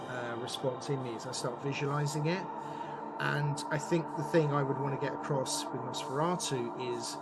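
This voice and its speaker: A man speaking as a podcast guest, explaining his ideas in a considered, piece-by-piece way with emphasis. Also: mid-range voice. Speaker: interview guest, audio drama writer and director. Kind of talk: thoughtful podcast interview conversation. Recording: loud music under the voice. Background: music.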